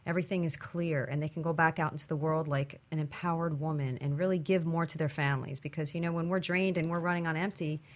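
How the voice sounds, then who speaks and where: A woman with a low voice speaks as an interview guest. She explains things in a conversational style, as someone talking about her own work. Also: steady tone, fast, few pauses. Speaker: guest, women's retreat organiser.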